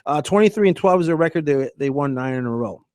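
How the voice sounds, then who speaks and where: Chatty sports radio host, dry studio. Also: male voice, on the high side, expressive, quick pace, a little mellow, no room sound. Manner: chatty, loose, many fillers. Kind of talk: casual sports talk and live ad read.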